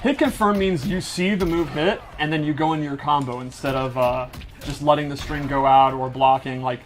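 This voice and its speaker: Male game developer, slightly high-pitched, keen and informal, talking through gameplay. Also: steady tone, normal speed. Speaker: game developer demonstrating a fighting game character. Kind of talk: casual explanatory gameplay commentary.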